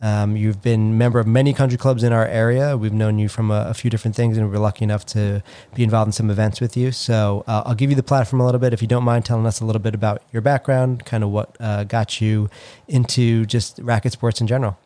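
A man's voice: on the low side, level tone, fast, warm tone. A man hosting a podcast, interviewing a guest in a conversational style. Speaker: podcast host.

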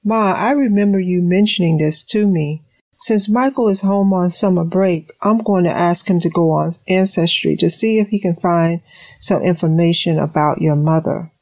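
A woman playing a caring, composed middle-aged mother with measured, formal wording in an audio drama. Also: on the low side, a little mellow, no echo.

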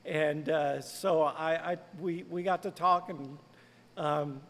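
Man, pastor, gravelly voice, slightly high pitch, earnest conversational talk, lingering reverb. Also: steady tone, slow, fairly bright tone. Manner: conversational, earnest, lightly laughing. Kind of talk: podcast interview.

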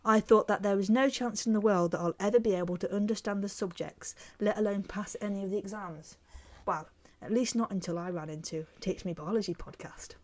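Woman, mid pitch, podcast co-host, a bit hesitant, reads out emails. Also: brisk, hardly any pauses. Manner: hesitant with fillers, reads listener mail aloud. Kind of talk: casual educational podcast chat.

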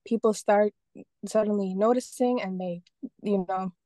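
Mellow-voiced woman from Estonia, chatty guest. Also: mid-range voice, fairly even intonation, unhurried, no room sound, clean studio sound. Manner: rambling, many restarts and fillers. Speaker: guest, painter and photographer. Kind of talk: casual interview talk.

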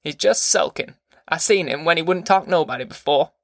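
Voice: medium pitch, animated, moderate pace, creaky, a bit bright, no echo, clean studio sound, pitched above the performer's own voice. A performer voicing a sulky, bossy elderly grandmother from a novel, with querulous, folksy speech. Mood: disgusted. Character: sulky, fierce, bossy old country grandmother.

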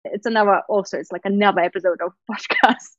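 A woman's voice: mid-range voice, fast, no echo, dull audio. Candid woman, native Russian speaker. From Lithuania. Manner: candid, animated storytelling with frequent laughs. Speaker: guest. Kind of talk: casual interview chat.

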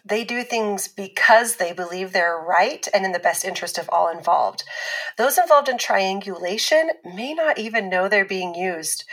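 An actress playing a calm, patient abuse survivor who explains things in a measured, instructive way in a scripted fiction podcast. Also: female voice, mid-range voice, rough recording.

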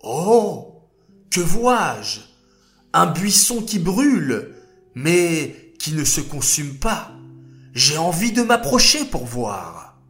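A man's voice: sits high, lively intonation, slow, a bit bright, soft background music, voice pushed up, full character voice. A man voicing an earnest, modest shepherd hero. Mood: wondering. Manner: earnest, pleading. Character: humble, kind shepherd hero, modest and gentle.

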